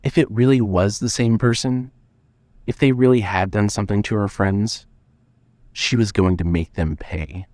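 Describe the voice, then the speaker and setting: A man's voice: on the low side, normal speed, darkish tone, no echo. A man narrating scripted suspense fiction as the storyteller of an audio drama.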